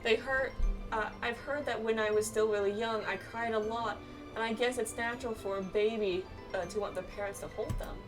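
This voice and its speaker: A woman voicing a wistful, gentle young clanswoman from a fantasy game, reading the dialogue aloud a little haltingly. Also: on the high side, fairly even intonation, recorded from a distance, music in the background, natural voice.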